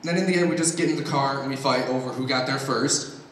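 A man's voice: mid-range voice, quick pace. A teenage young man telling a stand-up style personal anecdote to an audience from a stage. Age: teens. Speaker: high school pageant contestant performing on stage. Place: large school auditorium stage. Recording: echoey auditorium from far off, faint noise.

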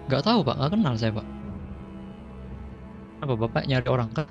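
A man's voice: medium pitch, big pitch swings, normal speed. A man in casual in-game roleplay talk over lo-fi voice chat. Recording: dull lo-fi game voice chat, music.

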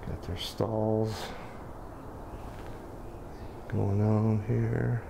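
A man with an American accent walks a construction site outdoors, talking casually to his camera and thinking aloud with plenty of pauses and fillers. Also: on the low side, flat delivery, creaky. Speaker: videographer on a walking site tour. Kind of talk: casual walking commentary. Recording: outdoor camera audio with noticeable background noise.

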